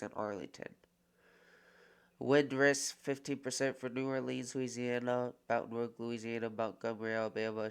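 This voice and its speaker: Stuffy-nosed man with a gravelly voice. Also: mid-range voice. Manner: reads place lists haltingly, stumbles on names. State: stuffed up with a cold. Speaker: weather forecaster host. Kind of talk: casual weather forecast video.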